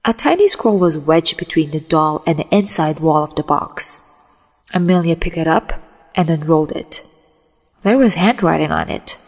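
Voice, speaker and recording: A woman's voice: deep voice, animated, moderate pace. A woman voice actor giving a dramatic reading of a short story, with long reverb around her voice. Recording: long reverberant hall echo.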